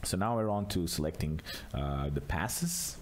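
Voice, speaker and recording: A man narrating an unscripted screencast tutorial as a software demonstrator, explaining casually step by step. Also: low voice, animated, measured pace, a little hiss or hum.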